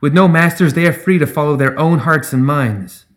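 A man voicing a proud, calm woman resurrectionist in a fantasy story, speaking with cold-edged, ringing oratory. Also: on the high side, moderate pace, voice pushed up, natural voice. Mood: earnest appeal.

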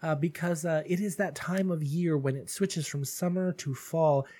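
A man's voice: fairly high pitch, steady tone, moderate pace, warm tone. A man hosting a casual solo podcast, chatty and gushing, calling his listeners darlings, a little stuffy from allergies. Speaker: podcast host.

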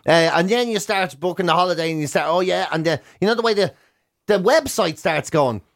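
Irish male radio co-host, middle-aged, notably high voice, self-mocking comic banter with acted-out exchanges. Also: a little fast, dry recording, studio quality. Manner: self-deprecating, animated acting out of imagined exchanges.

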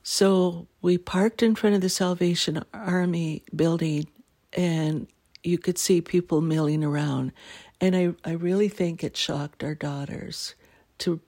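A middle-aged woman from Canada, hosting a podcast and telling a family story in casual chat, clearing her throat as she loses her voice. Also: fairly low pitch, steady tone, a little slow, mellow. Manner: laughing, self-deprecating jokes. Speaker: podcast host.

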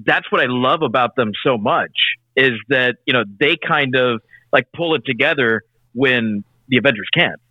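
A man's voice: mid-range voice, normal speed, no room sound. A man in casual conversation about comic books as one of a panel, with a dull, band-limited sound. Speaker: podcast panelist.

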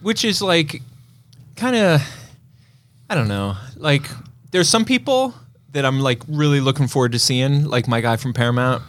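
A man's voice: mid-range voice, expressive, moderate pace. A man co-hosting a podcast in casual chat, with a rambling, conversational way of talking. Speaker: podcast co-host.

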